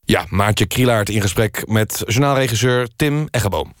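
A man presenting a mock-serious radio magazine in a deadpan manner. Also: fairly low pitch, moderate pace. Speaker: radio host. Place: radio studio.

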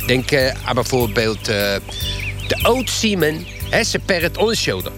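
An actor playing a grumbling male animal lover in a mock TV portrait, explaining things in a halting, teacherly way. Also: medium pitch, very animated, close to an everyday voice. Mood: earnest, didactic. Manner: halting, didactic. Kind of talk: mock television portrait clip. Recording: music playing behind.

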